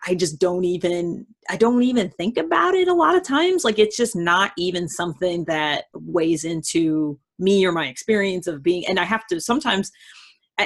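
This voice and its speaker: A woman with a husky voice speaking as the host of a conversational one-on-one discussion. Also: fairly low pitch. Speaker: host of a personality-type dialogue series. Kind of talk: conversational interview.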